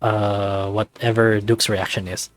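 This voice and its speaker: A man's voice: lowish voice, level tone, a little slow. A man casually reading a visual novel aloud and commenting on it as he plays. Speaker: let's player of a visual novel. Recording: music playing behind close dry voice.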